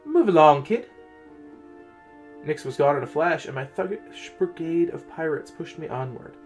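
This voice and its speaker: A man's voice: on the high side, normal speed, soft background music. A man narrating a first-person fantasy story in a dramatic reading style.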